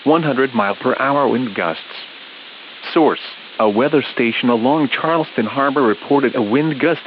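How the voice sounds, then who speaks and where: Muffled, hissy male emergency weather announcer. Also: medium pitch. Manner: impersonal, measured reading. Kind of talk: formal scripted emergency weather bulletin. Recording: dull hissy weather-radio broadcast audio.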